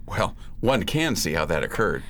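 A woman playing a courteous, hesitant listener who gently probes in a period radio drama. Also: very deep voice, very animated, moderate pace, slight background noise, exaggerated voice. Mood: sympathetic. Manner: polite, hesitant, probing. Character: attentive, courteous listener, taken aback by a confession.

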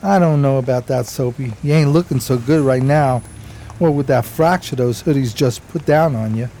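An actor playing a streetwise, loyal homeless pal in a 1920s New York radio play, with slangy, folksy, casual speech. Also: male voice, mid-range voice, moderate pace, warm tone, slight background noise, lightly acted. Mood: concerned, coaxing. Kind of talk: radio play acting and storytelling.

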